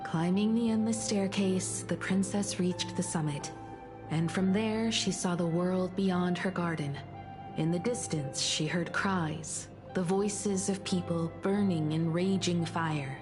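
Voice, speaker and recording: An actress playing a resolute, composed grown daughter and heroic traveller in a video game, speaking in a measured, poised storytelling manner. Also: female voice, lowish voice, steady tone, music in the background. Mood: solemn storytelling. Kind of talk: dramatic video game voice acting.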